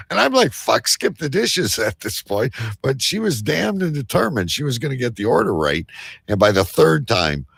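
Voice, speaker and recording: A man hosting a live show in rambling, casual banter, halting at times and then suddenly emphatic. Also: mid-range voice, big pitch swings, gravelly, fairly bright tone, slightly rough, no room sound. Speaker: host of a live online show.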